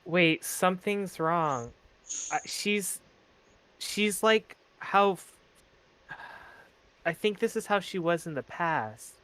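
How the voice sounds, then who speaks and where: A man's voice: high voice, animated, slow pace, pauses often, pushed and bright, clear, resonant tone. A man playing a tabletop roleplaying game, chatting informally and correcting himself as he explains his character's actions. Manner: informal, self-correcting, swearing. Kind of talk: casual game chat.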